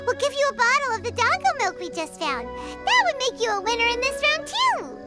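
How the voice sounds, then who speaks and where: A woman voicing a tiny, childlike, excitable fairy companion in a video game, squeaky and exclamatory. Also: a little fast, loud music behind the voice. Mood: animated. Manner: exclamatory, speaks of herself in third person. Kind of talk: video game character acting.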